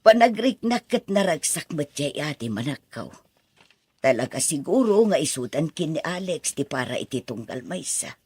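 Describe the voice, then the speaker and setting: A woman's voice: sits low, sing-song, normal speed, darkish tone, breathy edge, no echo, heavily acted. An actress playing a kindly, talkative elderly mother with an Ilocano accent in a radio drama. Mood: contented, chatty. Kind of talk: Filipino radio drama acting.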